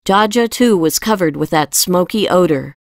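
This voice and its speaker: A woman's voice: fairly low pitch, animated, normal speed, no echo, clean studio sound. A woman reading scripted narration from a fantasy novel as an audiobook narrator.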